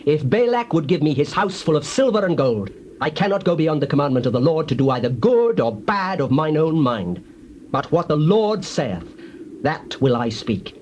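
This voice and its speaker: An actor playing a solemn, defiant prophet in a dramatized audio Bible, declaiming grand oracles like incantations. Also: male voice, fairly high pitch, a little mellow, close to an everyday voice. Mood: firm. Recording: hissy old cassette tape.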